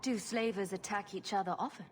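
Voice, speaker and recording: An actress playing a bold, compassionate adventurer in a video game, firm and direct. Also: female voice, mid-range voice, brisk, slight background noise, natural voice. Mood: resolute. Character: bold, compassionate woman adventurer, party leader.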